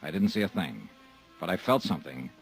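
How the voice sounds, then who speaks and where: A man's voice: low-pitched, sing-song, a little fast, vocal fry, breathy edge, faint music behind, rough recording. A man narrating and acting a wisecracking insurance investigator in a 1950s radio drama, dry and hard-boiled. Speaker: lead actor and narrator.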